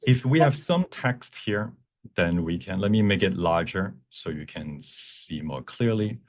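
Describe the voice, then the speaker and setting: A man teaching a live software demonstration, explaining step by step in a halting, self-repeating way and switching between two languages. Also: low voice, animated. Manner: bilingual, switches between English and Cantonese. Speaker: workshop presenter, instructor.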